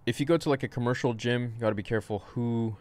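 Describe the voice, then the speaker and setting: A man's voice: mid-range voice, fast, darkish tone. A young man casually answering fitness questions on a home livestream, rambling, frank and swearing freely, recorded by a desk mic in a small room. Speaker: fitness streamer.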